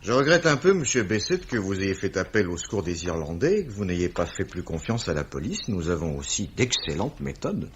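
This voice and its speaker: An actor playing a middle-aged police commissioner, formal, urbane and probing, in 1950s French radio drama style. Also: male voice, low voice, big pitch swings, a little fast, few pauses, full character voice. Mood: courteous. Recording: dull, slightly rough old radio recording.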